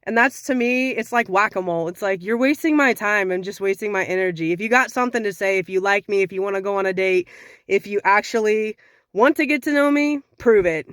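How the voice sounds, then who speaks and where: A young woman with a slightly raspy voice from sinus trouble, giving frank dating advice in a casual talk to camera. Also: medium pitch, a little fast, a bit bright, dry recording, clean studio sound. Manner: frank, conversational, sassy.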